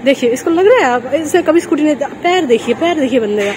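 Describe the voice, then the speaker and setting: A woman's voice: high voice, animated, quick pace, darkish tone. A young woman from Kolkata chatting casually and teasing her partner while vlogging on a phone held at arm's length in a shopping mall. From Kolkata, India. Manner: teasing, playful, laughs often. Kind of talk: casual vlog chat. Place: shopping mall and sports store, indoors. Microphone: phone camera at arm's length. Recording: noisy mall with crowd.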